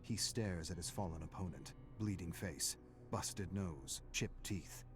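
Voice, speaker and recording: A man reading a dramatic scripted story in a measured, brooding way, in short clipped phrases. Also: low voice, over music. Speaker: narrator.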